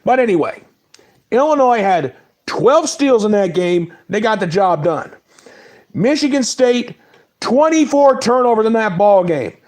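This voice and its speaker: A man with a cold giving a casual spoken recap of basketball results, rattling off stats in quick lists. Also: sits high, big pitch swings, a little mellow. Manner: reads stats in quick lists, apologizes for mispronouncing names. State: has a cold. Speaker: sports talk host. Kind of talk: casual sports recap monologue.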